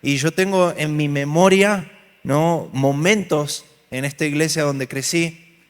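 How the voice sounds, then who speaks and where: A man's voice: fairly high pitch, steady tone, slow pace, smooth. A man with an Argentine Spanish accent, teaching a workshop to a church audience in a joking, storytelling way and asking them questions. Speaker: pastor leading a church workshop. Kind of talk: lively workshop talk to a congregation. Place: large hall with an audience. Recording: hall reverb.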